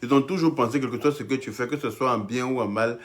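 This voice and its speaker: A man's voice: medium pitch, fast talker. A man hosting a livestream and taking calls, talking bluntly and crudely in a dismissive manner. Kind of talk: casual live-stream talk.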